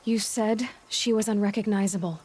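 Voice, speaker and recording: A woman's voice: mid-range voice, steady tone, moderate pace, darkish tone, natural voice. Woman doing a young game huntress, direct n determined, asks pointed questions, music in back. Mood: focused, analytical. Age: young adult. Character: determined young hunter woman, sharp and probing. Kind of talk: video game cutscene acting.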